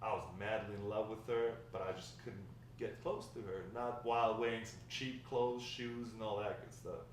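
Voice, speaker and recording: A man playing a grandfather who fondly recounts his wild, lovestruck youth in a halting table read. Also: lowish voice, steady tone, close to an everyday voice. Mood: reminiscing. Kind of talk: table read of a dramatic script. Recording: echoey room, distant mic, faint noise.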